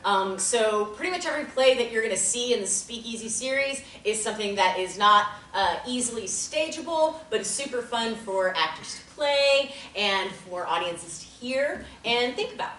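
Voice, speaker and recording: A woman's voice: a little high, animated, moderate pace, hardly any pauses, a bit bright. A woman giving casual announcements to a theater audience, bubbly and full of fillers. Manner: bubbly, hesitant, with many fillers. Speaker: theater company organizer. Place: theater or event room. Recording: roomy, from far off, faint noise.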